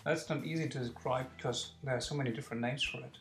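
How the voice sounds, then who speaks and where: A man's voice: mid-range voice, fairly even intonation, fast, noisy background. A man, answering interview questions hesitantly and searching for words. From Germany. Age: 40s. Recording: echoey room.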